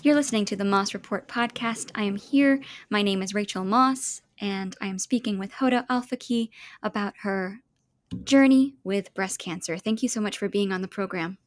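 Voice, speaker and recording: A woman's voice: medium pitch, moderate pace. Woman hosting a podcast interview. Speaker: podcast host.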